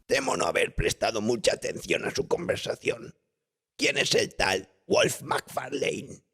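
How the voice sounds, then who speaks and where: Brief: male narrator as boozy, educated old sinner in a horror audiobook, halting and bitter, voice lifted into a breathy strain. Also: sits high, wildly swooping pitch, fairly bright tone, pitched above the performer's own voice, heavily acted. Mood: shaken, intent. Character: old boozy cultured Scottish regular, bitter and self-loathing.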